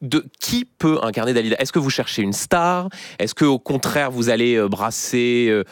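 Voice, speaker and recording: A man hosting a live morning radio interview from a studio, putting questions in a halting way and often rephrasing them midway. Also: mid-range voice, no room sound. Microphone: close studio microphone.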